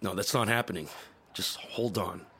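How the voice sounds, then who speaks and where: An actor playing a weary, determined cybernetic veteran soldier in an audio drama, speaking tersely with a gravelly, husky voice. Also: male voice, normal speed, noisy background, close to an everyday voice. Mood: grim, resolute. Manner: terse, low-key military delivery.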